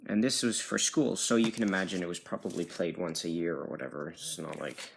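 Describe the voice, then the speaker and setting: Male hobbyist collector, low register, hesitant off-the-cuff delivery, slightly noisy handheld phone sound. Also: fairly even intonation, brisk, gravelly. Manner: hesitant, unsure, self-correcting. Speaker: record collector showing his collection. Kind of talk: casual rambling show-and-tell. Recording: noisy amateur phone video with clicks.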